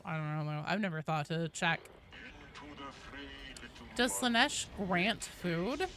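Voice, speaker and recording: A woman's voice: fairly low pitch, animated, slow pace. A woman game streamer chatting casually through live gameplay, informal and sweary, full of gamer slang. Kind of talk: casual live gameplay commentary to chat and teammates.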